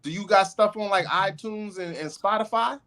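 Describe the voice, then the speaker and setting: A man hosting a podcast in casual chat, animated and joking, often repeating himself. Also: high voice, normal speed. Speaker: podcast host.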